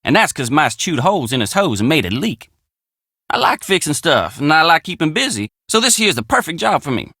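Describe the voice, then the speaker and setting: A man's voice: a little high, very animated, fairly quick, pushed and bright, dry recording, studio quality, full character voice. An actor playing a friendly, plainspoken young country handyman with an American drawl in a video game. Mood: easygoing, matter-of-fact. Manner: folksy, plainspoken country phrasing. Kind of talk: video game character dialogue.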